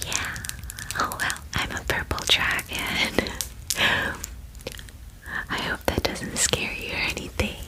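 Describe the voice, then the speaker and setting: A woman voicing a friendly, eager dragon in cute human form, whispering softly and chattily in an ASMR fantasy roleplay. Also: lightly acted, low-quality recording. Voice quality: soft, breathy whisper. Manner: chatty, gushing, hesitant, reassuring.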